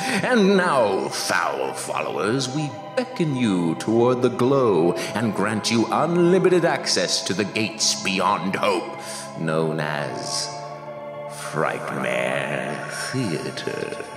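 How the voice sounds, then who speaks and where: A man narrates a horror show in a very deep, slow, drawn-out voice, and his pitch swoops for effect. The sound is roomy, with long reverb. Music plays behind him. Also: big pitch swings. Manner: slow, menacing, theatrical, drawn-out. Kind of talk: scripted sinister narration.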